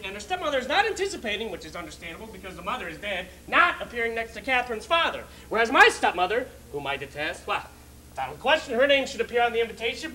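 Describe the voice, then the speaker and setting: A man's voice: very high-pitched, pushed and bright, slight background noise, full character voice, low-quality recording. A man playing a frantic, put-upon bridegroom in an agitated, emphatic stage monologue. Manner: agitated, emphatic, swooping delivery.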